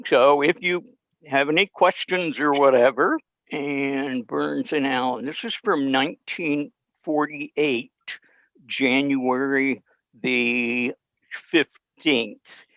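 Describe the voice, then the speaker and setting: A man's voice: medium pitch, steady tone, takes it slowly, a bit bright, no echo. An older man giving an informal explanatory talk as a presenter over a video call. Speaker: presenter of old-time radio session. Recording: dull video-call audio.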